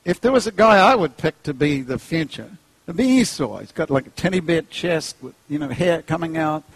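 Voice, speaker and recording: A middle-aged man with a New Zealand accent preaching an animated, humorous sermon, jumping into playful voices. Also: fairly quick, slightly rough, dry recording, rough recording. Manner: jokey, acts out characters, pitch leaps high.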